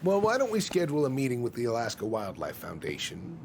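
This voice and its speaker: An actor playing an evasive, folksy middle-aged small-town man in a naturalistic video game drama, with a creaky voice. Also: medium pitch, wildly swooping pitch, fast talker, a little hiss or hum. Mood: harried, businesslike.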